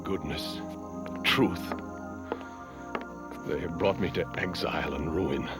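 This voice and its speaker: An actor playing a stern, bitter exiled king and domineering father in an animated adventure, imperious and theatrical. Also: rumbling low, slow, over loud music. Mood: grim. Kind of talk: animated adventure drama acting.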